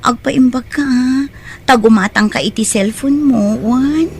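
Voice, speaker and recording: High-pitched, scheming Ilocano aunt. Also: female voice, measured pace, slightly rough. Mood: falsely caring. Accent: Filipino, Ilocano. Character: greedy, scheming aunt exploiting her singing nephew. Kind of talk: Ilocano audio drama acting.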